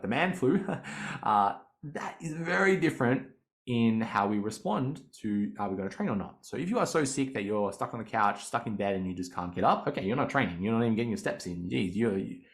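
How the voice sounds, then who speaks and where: A man, a fitness coach giving casual, animated podcast advice and laughing easily. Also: lowish voice.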